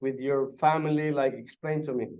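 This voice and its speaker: Casual male interviewer, slightly high voice. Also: fairly even intonation, quick pace, a little mellow, rough recording.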